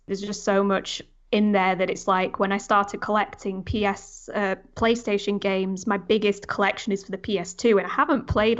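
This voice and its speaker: Rambling young woman on slightly dull audio. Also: medium pitch. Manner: rambling, informal. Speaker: podcast panelist. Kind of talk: casual gaming podcast chat.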